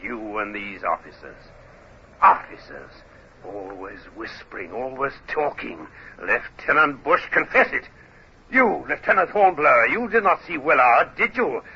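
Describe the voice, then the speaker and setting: An actor playing a paranoid, tyrannical navy captain in an old radio drama, moving between hushed menace and barking. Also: male voice, mid-range voice, expressive, unhurried, background noise. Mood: paranoid, raging. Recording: dull, lo-fi old radio recording.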